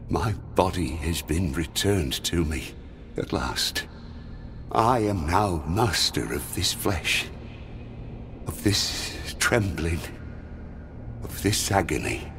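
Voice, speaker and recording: A grave, devout revenant in a gothic game cutscene, with a very low voice that drags through every solemn, archaic phrase. He sounds tormented and breathy as lines fade, over a soft bed of music. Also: heavily acted. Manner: solemn, archaic, drawn-out delivery. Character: tormented man returned from death, grave and devout. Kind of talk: theatrical video game cutscene acting.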